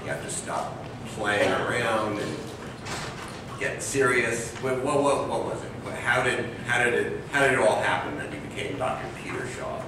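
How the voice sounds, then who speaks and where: Deep-voiced joking interviewer in a hall. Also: male voice, animated, moderate pace. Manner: joking, teasing, stumbling restarts on questions. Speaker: interviewer, conference host. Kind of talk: conference stage interview. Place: conference hall before an audience. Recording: echoey hall, distant mic, noisy.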